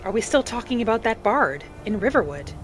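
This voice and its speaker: Woman playing a curious, lively-pitched wood elf traveler. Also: brisk. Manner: gentle, courteous, slightly formal, musing aloud. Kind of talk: in-character game roleplay commentary. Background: faint music.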